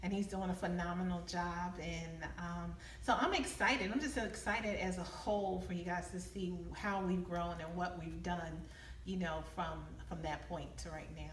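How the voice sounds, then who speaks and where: A woman's voice: fairly low pitch, steady tone, normal speed. A woman giving casual interview answers in an effusive, candid manner as a playwright and producer. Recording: echoey, distant mic, faint room noise.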